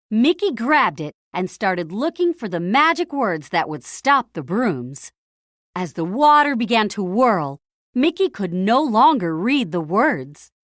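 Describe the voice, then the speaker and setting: A woman reads a children's story aloud as its narrator. Her delivery is lively and melodic, moving at a measured, slightly slow pace. She leans on key phrases for dramatic emphasis, and the sound is dry with no room echo. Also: mid-range voice.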